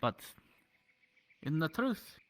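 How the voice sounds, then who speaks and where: A man improvising in-character roleplay dialogue, speaking in a halting, reflective way with dropped articles. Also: a little high, very animated. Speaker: roleplayer in an online game.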